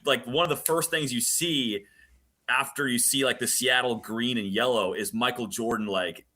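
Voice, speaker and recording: A man talks casually as a sports radio guest. His voice is bright and forward, at an average male pitch, the sound of a working play-by-play announcer. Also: moderate pace. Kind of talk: casual interview chat.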